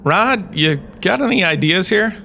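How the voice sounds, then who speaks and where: Man playing a lively, glib hologram lecturer. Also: high voice, measured pace, vocal fry, slight background noise, full character voice. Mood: wry. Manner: wry, chatty, dramatic asides. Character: glib, theatrical male hologram university lecturer. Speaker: host. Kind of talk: scripted comic lecture.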